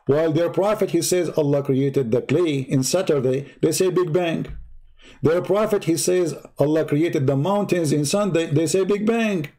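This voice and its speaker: A man's voice: a little high, normal speed, mellow. A man whose first language is Arabic, hosting a live stream, speaking in a combative, mocking way and repeating rhetorical questions. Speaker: online debate host. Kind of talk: heated live-stream commentary.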